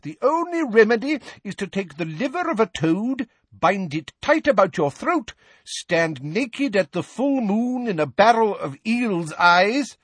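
A man's voice: high voice, sing-song, a little slow, a bit bright, husky, dry recording, voice pushed up, heavily acted. A man voicing a pompous, insistent medieval wizard healer with archaic, grandiose diction, in an audiobook story. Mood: ominous, insistent.

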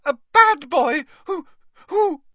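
A tiny, devoted storybook house elf with an extremely high, squeaky voice. He is excitable and earnest, and speaks forcefully in the third person. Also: slow, no room sound, full character voice. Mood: angry, distressed.

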